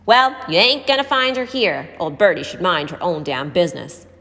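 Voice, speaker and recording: A woman's voice: on the low side, big pitch swings, moderate pace, a bit bright. A woman voicing a hostile, scornful teenage street girl in a story, snappish and challenging. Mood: snapping. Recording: hall-like reverb.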